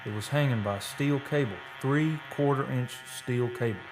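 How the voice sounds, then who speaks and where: A man's voice: mid-range voice, moderate pace, close to an everyday voice, low-quality recording. Man acting a haunted, folksy oil-rig storyteller, music behind. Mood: haunted, grim. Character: shaken oil-rig worker recounting eerie deaths, defensive under questioning. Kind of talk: radio drama first-person narration.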